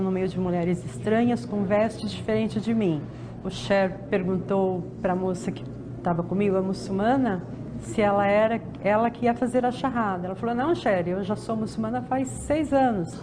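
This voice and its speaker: A woman's voice: medium pitch, moderate pace, noisy background. A woman in her fifties telling her story earnestly as an interviewee. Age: 50s. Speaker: interviewee, convert. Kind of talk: recorded testimony.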